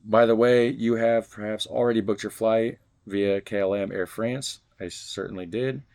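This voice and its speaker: A man's voice: lowish voice, fairly even intonation, normal speed, darkish tone. A man vlogging at home, reading a fan letter aloud and joking casually between passages. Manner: reads stiffly, then jokes loosely between passages. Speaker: vlogger unboxing fan mail.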